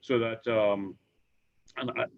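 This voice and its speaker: Halting Trinidadian man, dull lo-fi sound. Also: on the low side, fairly even intonation, vocal fry. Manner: halting, repeats words, many fillers. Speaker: insurance operations executive, panel guest. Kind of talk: conversational panel discussion. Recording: dull lo-fi recording.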